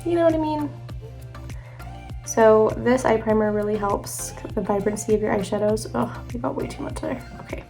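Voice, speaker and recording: A woman's voice: fairly high pitch, moderate pace, creaky, warm tone, faint music behind. A young woman vlogger chatting casually through a makeup tutorial, sounding a little congested from allergies. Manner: chatty, rambling, self-correcting. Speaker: beauty vlogger.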